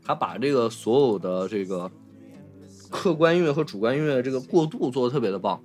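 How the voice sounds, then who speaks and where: A male podcast host chats about film over faint background music. His voice sits at a middling pitch. He is colloquial and enthusiastic, often circling back and repeating his words. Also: expressive, brisk, darkish tone. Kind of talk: casual film discussion.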